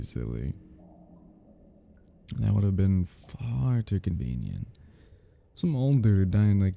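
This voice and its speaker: A man playing an obsessive, smug and playful stalker in an intimate roleplay audio, husky and gravelly, teasing and drawling, with drops into whispers. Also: sits low, mellow, a little hiss or hum, full character voice. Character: obsessive, smug, playful male yandere crime scene cleaner stalker.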